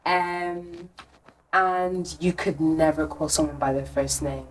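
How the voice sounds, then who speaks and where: A woman's voice: low voice, monotone, slow pace, distant mic, a crowd in the background, low-quality recording. A young woman of Nigerian background chatting on a podcast, thoughtful and prone to giggling. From Nigerian family. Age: young adult. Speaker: podcast guest. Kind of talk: casual chat.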